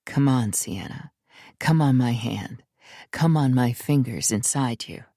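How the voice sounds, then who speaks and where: A woman reading the part of a dominant man in an erotic story, speaking low, slow and controlled. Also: expressive, warm tone, no room sound, studio quality, full character voice. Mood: low, commanding. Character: commanding, dominant adult man lover.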